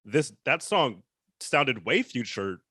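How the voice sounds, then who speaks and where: A man's voice: medium pitch, very animated, slow pace, bright, forceful tone, dry recording, clean studio sound. A man hosting a casual interview, easygoing and upbeat, with many ums. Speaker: interviewer, host.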